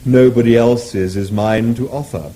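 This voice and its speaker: A man voicing a courteous male cow-like creature with a low, mellow lowing voice and an unctuous, polite waiter manner. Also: unhurried, slight background noise, close to an everyday voice.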